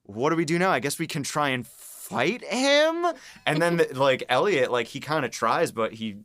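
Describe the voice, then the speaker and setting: A man's voice: on the high side, moderate pace, no room sound, studio quality. A man co-hosting a podcast, explaining his writing in casual chat with many fillers and bursts of laughter. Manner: animated, lots of fillers, laughs while explaining. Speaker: writer and podcast co-host. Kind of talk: casual behind-the-scenes chat.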